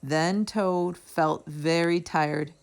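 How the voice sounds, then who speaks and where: A woman storyteller reading a children's book aloud in a deliberate, expressive narrating style. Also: fairly low pitch.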